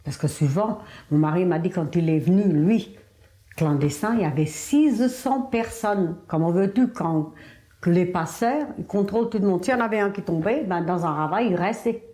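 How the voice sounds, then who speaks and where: An older woman, wife of an immigrant, telling her story slowly and emphatically, often turning to the listener. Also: on the low side, warm tone. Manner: slow, emphatic, addresses listener with tu and hein. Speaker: documentary interviewee. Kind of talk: conversational testimony. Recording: echoey room.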